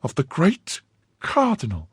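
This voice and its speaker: A man voicing an indignant young wife who scolds her husband, pushing his husky voice higher. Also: wildly swooping pitch, unhurried, no echo, studio quality, heavily acted. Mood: alarmed. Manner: scornful, pleading and scolding by turns. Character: young woman, indignant, fiercely loyal wife.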